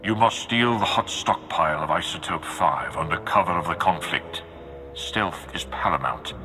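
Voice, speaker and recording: A grim warlord of an empire at war, played by a male actor, with an extremely deep voice. He speaks formally and gravely, with measured authority. Dramatic game music runs clearly behind him. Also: natural voice. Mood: stern, explaining. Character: grim commanding dark lord of an empire at war. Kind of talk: scripted video game acting.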